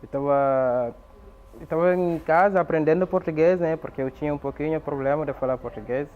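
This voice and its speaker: A man recounts his arrival in an interview, searching for words in a language he is still learning, his first tongue being French. His voice sits a little high. The sound is thin and tinny, as if over a phone. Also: brisk, a little hiss or hum. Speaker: immigrant interviewee. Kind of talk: interview testimony.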